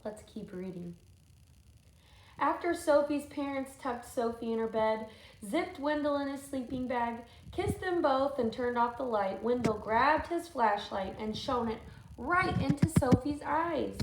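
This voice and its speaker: A woman with a fairly high voice reads a storybook as a teacher would, slowly and thoughtfully, pausing for questions to children. The room echoes around her. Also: expressive. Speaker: teacher reading aloud. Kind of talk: storybook read-aloud with asides to children. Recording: echoey room with faint noise.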